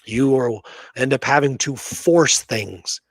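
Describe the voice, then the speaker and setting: A man with a mid-pitched voice talks history as a podcast co-host. He is informal and emphatic, arguing his points in a conversational way, and the sound is dry and close. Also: takes it slowly, dry recording. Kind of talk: casual history discussion.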